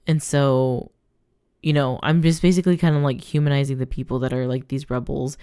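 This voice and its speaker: A woman giving casual, rambling gameplay commentary, thinking her thoughts out loud as she goes. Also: extremely low pitch, steady tone, fairly quick, clean tone, no room sound, clean studio sound. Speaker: gaming let's player.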